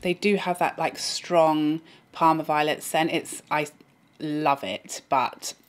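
A woman in her thirties speaks in a somewhat low voice, a little hoarse from a cold. She chats eagerly and directly to her viewers, as if sitting across from them in a bright room at home. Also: steady tone, slow, faint music behind. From England. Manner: chatty, enthusiastic, talks to viewers directly. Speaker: beauty vlogger. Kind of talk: casual product review.

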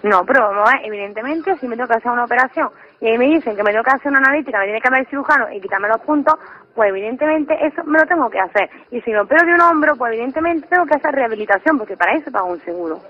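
A woman's voice: a little high, lively intonation, racing. A young adult woman from Córdoba, Spain, in an unscripted, indignant phone conversation. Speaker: prank call target at work in a shop. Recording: dull, band-limited phone call.

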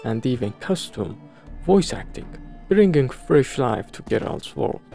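A man's voice: medium pitch, big pitch swings, measured pace, gravelly, mellow. A man presenting a game video in scripted review narration. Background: background music.

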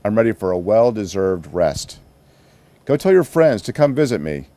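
An American man voicing a proud, kindly retired female space shuttle in a gentle, encouraging storybook manner. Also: lowish voice, sing-song, moderate pace, dry recording. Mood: tender.

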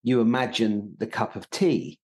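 Halting, lowish-voiced British man explaining. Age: middle-aged. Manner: halting, repeats words as he explains. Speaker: acting teacher, podcast host. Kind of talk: explanatory conversation. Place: indoor room on a video call.

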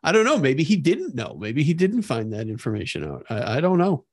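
Halting male podcast co-host, dry sound. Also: on the high side, wildly swooping pitch, husky, no room sound. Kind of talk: conversational history discussion.

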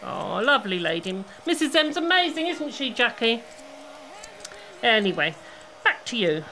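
A woman's voice: on the high side, big pitch swings, a little slow, sharp tone, a little hiss or hum, full character voice, poor audio. A woman playing a chatty, gossipy, bustling hair salon owner in an audio play, gushing and exclaiming. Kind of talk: amateur audio play acting.

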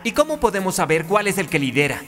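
Earnest high-voiced soccer teammate. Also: male voice, sing-song, quick pace, fairly bright tone. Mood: earnest, questioning.

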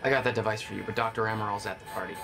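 An actor playing a wry, determined action hero on the run in a cinematic video game, given to sardonic asides. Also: male voice, on the low side, fairly even intonation, racing, creaky. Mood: wry, focused. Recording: roomy, distant, under loud music.

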